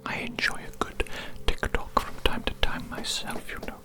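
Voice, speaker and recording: A performer voicing a condescending alien doctor in a breathy ASMR whisper, soothing, patronizing and repetitive during a medical roleplay. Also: normal speed, natural voice. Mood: gently condescending. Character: condescending, politely amused alien doctor giving a hearing exam.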